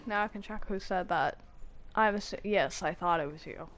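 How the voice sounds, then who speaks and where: A woman's voice: fairly low pitch, moderate pace, fairly bright tone. A woman giving casual live commentary as she streams a video game. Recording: game music behind the voice. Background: video game music.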